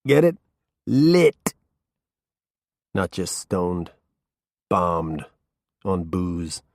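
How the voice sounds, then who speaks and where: A man's voice: low-pitched, sing-song, extremely slow, a little mellow, exaggerated voice. A man voicing a stoned, drunk middle-aged slacker with a lazy stoner drawl that wanders between tones. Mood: stoned, taunting. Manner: slurring stoner drawl with shifting put-on tones.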